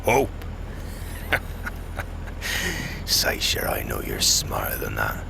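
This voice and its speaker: Deep, gravelly old cynic smuggler. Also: male voice, huge pitch swings, drawn-out words, full character voice. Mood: scornful, bleak. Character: cynical, grizzled older male smuggler, mocking and fatalistic. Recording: loud ambient noise behind.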